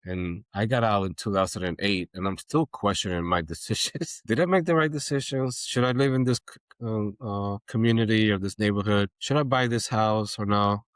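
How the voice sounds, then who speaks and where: A man's voice: on the low side, normal speed, no room sound. A man hosting a podcast interview, conversational and reflective in an informal way. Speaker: podcast host, military veteran.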